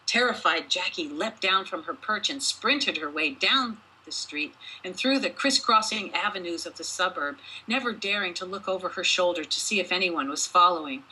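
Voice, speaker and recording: A woman author reading her own short story aloud as expressive storybook narration, with deliberate, dramatic phrasing. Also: fairly low pitch, husky, rough recording.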